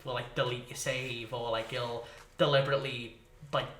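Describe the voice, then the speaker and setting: A man chatting casually as a podcast co-host, rambling in an animated, sweary way and leaning on "like". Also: medium pitch, moderate pace. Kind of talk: casual chat about films and games. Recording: roomy, echoey sound.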